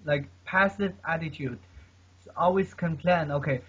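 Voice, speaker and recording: Man, husky voice, fairly high pitch, keeps saying okay, earnest advice, dull lo-fi sound. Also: unhurried. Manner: keeps tagging sentences with "okay?"; earnest, advice-giving, many fillers. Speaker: guest polyglot. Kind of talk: casual conversation about language learning. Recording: dull lo-fi recording.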